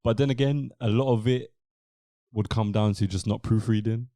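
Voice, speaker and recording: Young English male podcast host, dark mellow tone, dry close sound, loose rambling delivery. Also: fairly low pitch, moderate pace, no room sound. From England. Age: young adult. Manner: relaxed, rambling, many fillers. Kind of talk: casual podcast chat.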